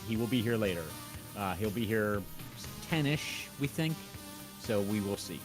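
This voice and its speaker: A man's voice: fairly low pitch, animated, normal speed, rough recording. A man co-hosting a livestream in casual chat, with a dry, offhand manner. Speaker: co-host of a livestream. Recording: music playing behind the voice. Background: music.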